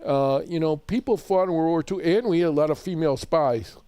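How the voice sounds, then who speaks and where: Man, Chicago accent, radio host, rambling chatter, fairly high pitch, dry studio sound. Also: brisk, dark tone, clean tone, no room sound. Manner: chatty, rambling, repeats words when starting. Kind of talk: live radio call-in chat.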